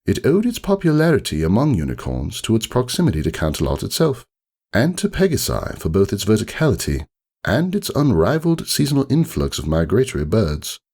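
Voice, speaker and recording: Male actor as aristocratic unicorn, very low pitch, highly animated melody, pompous, ruminative, melancholy, dramatic storybook monologue. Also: brisk, slightly rough, heavily acted. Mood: wistful, formal. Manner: pompous, wordy, theatrical, ruminative. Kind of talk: dramatic storybook reading, first-person monologue.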